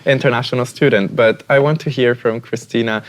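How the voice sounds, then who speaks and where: A man's voice: medium pitch, fairly even intonation. A man hosting a talk show panel, affirming guests and asking follow-up questions. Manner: affirming, drawn-out phrasing. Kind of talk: moderating a panel.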